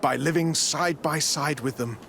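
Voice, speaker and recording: An actor playing a zealous, smooth-talking priest in a video game, formal, preachy and condescending. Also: male voice, fairly high pitch, measured pace, fairly bright tone, a little hiss or hum. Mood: pious, proud. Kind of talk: scripted video game dialogue.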